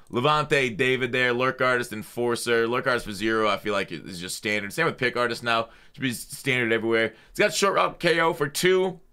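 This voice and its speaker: A man's voice: mid-range voice, bright, forceful tone, clean tone. A man hosting a gaming channel, talking through the news in a casual, off-the-cuff way with blunt, slangy opinions.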